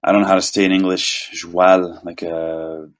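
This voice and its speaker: Relaxed low-voiced man from Quebec. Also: moderate pace. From Quebec, Canada. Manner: relaxed, rambling, self-deprecating. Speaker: voice actor guest. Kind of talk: casual interview chat.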